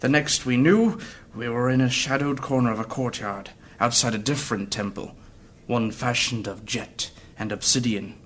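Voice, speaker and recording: A man's voice: mid-range voice, moderate pace, hardly any pauses, fairly bright tone, slight background noise, natural voice. A man playing a wounded, earnest adventurer who tells his story courteously and formally in a fantasy audio drama. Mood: intense.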